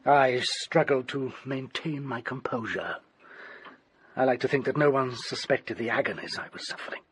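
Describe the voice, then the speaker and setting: An actor playing a haunted, desperate gentleman doctor in a radio drama, with a British accent and an ornate, grimly theatrical delivery. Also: medium pitch, normal speed, slightly rough. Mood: anguished confession. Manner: ornate, theatrical, dwells on grisly detail.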